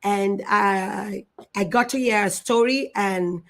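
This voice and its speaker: A woman's voice: medium pitch, slow, a bit bright. Effusive woman hosting a live online show. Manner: effusive and emotional, with repetition and restarts. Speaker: show host.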